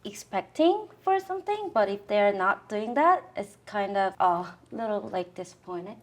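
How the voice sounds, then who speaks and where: A woman from South Korea with a Korean accent, a native Korean speaker, chatting casually and haltingly as a panelist in a group discussion. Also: medium pitch, big pitch swings, noisy background.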